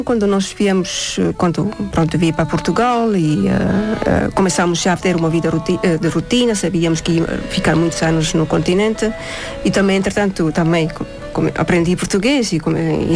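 A woman from Estonia speaking Portuguese as a second language with an Estonian accent, a guest answering interview questions and often pausing to find words. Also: on the low side, music in the background. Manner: searches for words, many short agreeing replies. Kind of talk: interview conversation.

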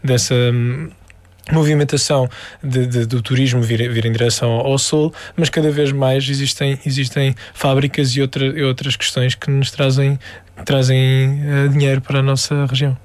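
A man's voice: medium pitch, little pitch movement, normal speed, dry recording. A man from the Algarve with a European Portuguese accent, a musician answering interview questions with many fillers and restarts. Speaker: musician guest.

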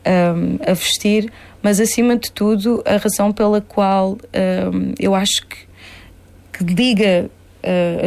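Lively young woman singer chatting. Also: mid-range voice. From Portugal (born there, raised in a Spanish-speaking home). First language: Spanish. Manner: animated, laughs often, mixes in English fillers. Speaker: singer-songwriter guest. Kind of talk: casual interview chat.

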